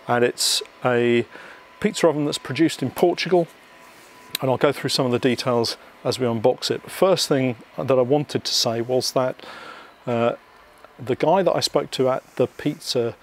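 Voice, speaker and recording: A man's voice: mid-range voice, a little hiss or hum. Chatty British man presenting casually. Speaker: vlog host. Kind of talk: casual unboxing narration to viewers.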